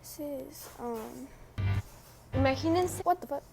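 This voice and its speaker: A young woman giving casual, slangy, sweary and teasing commentary as a gaming vlogger, with unhurried delivery. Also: sits high, creaky, music in the background, poor audio.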